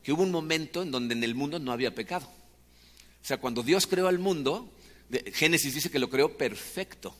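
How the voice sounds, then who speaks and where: Mexican preacher in a reverberant hall. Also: male voice, a little high, animated, moderate pace, a bit bright. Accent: Mexican Spanish. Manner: chatty, asks rhetorical questions. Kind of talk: conversational sermon.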